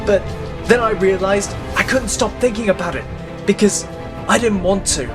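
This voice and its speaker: A man's voice: high-pitched, moderate pace, over music, pitched above the performer's own voice, lightly acted. A man voicing a tender, anxious young woman in love in an audio drama, pleading and confessional. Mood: tender, earnest.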